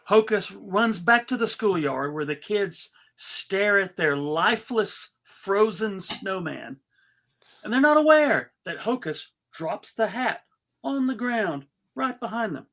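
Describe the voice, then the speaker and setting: A woman's voice: mid-range voice, lively intonation, slow, fairly bright tone. A woman narrating stage directions in a live script reading.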